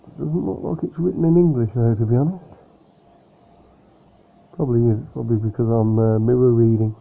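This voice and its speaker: Soft-spoken man on a tinny line. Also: mid-range voice, steady tone, fairly quick, vocal fry. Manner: speaking softly, hesitant with many fillers. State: slightly nasal and sniffly from a cold. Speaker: model aircraft unboxing vlogger. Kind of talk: casual unboxing chat. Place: room at home with a fan running. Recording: tinny phone-like sound with faint fan hum.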